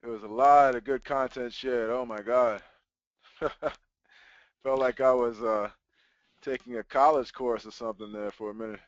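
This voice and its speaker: A man's voice: medium pitch, fairly even intonation, normal speed, pauses often, no room sound. A man hosting a live webinar in a loose, chatty way, dotted with fillers. The audio is dry and muffled, like a compressed web stream. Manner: casual, uses fillers. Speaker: webinar host. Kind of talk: casual webinar chat.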